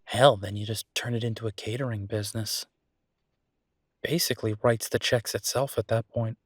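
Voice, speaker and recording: A man playing an easygoing, passionate restaurateur boyfriend in a conversational roleplay audio drama, husky and chatty with emphatic repetition. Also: mid-range voice, dry recording, studio quality, lightly acted. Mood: earnest, enthusiastic.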